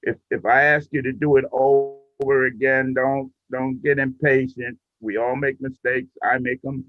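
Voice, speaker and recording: Slow-paced man teaching on a muffled call. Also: mid-range voice, little pitch movement. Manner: patient, step-by-step explaining. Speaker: instructor leading a radio training class. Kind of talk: casual instruction. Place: remote group class on a video call. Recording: muffled, roomy video call audio.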